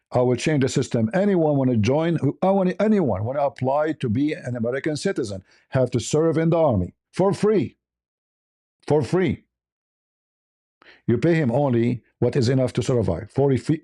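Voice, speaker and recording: A man's voice: mid-range voice, warm tone. A man with an Arabic accent giving a blunt, off-the-cuff opinion monologue as a livestream host, repeating phrases for emphasis.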